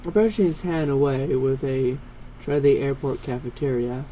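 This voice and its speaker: A man's voice: mid-range voice, normal speed, dull audio, over a noisy crowd. A man reading fan fiction aloud, narrating and voicing dialogue, sometimes stumbling over long words. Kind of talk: scripted story narration read aloud, with character dialogue. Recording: amateur recording with background noise.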